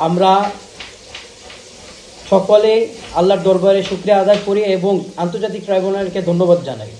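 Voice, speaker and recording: A man with a Bangladeshi accent giving a heartfelt, devout reaction in short, halting phrases. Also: high voice, dark tone, echoey room, slight background noise, rough recording.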